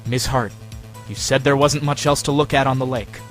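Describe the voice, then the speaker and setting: A man's voice: mid-range voice, steady tone, moderate pace, soft background music, close to an everyday voice. A man playing an earnest, dogged young defense lawyer, speaking with emphatic, probing delivery in an audio drama. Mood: assertive, probing. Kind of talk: fan audio drama voice acting.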